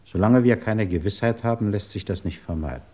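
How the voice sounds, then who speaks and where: A man playing a measured, courteous British government investigator in a 1950s German radio drama, formal, deliberate and patiently probing. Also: on the low side, warm tone, lightly acted.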